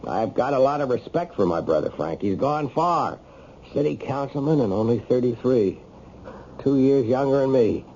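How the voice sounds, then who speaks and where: Man acting a bitter, gravelly ex-con, wildly animated. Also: medium pitch, moderate pace, close to an everyday voice. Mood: resentful. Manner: agitated, emotional, self-pitying. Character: bitter, desperate ex-con night watchman, thirty-five, wrongly accused. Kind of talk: old-time radio drama acting. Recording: dull, lightly noisy old radio recording.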